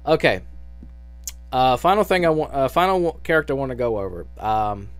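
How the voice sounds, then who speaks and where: A man's voice: mid-range voice, expressive, brisk, smooth, no echo. A man giving an unscripted, casual audition announcement for a fan dub, speaking in a hesitant, rambling way with frequent restarts.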